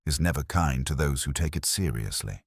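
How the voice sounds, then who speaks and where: A man's voice: very deep voice, animated, normal speed, husky, dry recording, clean studio sound, heavily acted. A man voicing a pale college bursar in a mystery story, grave and full of practiced sorrow. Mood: mournful.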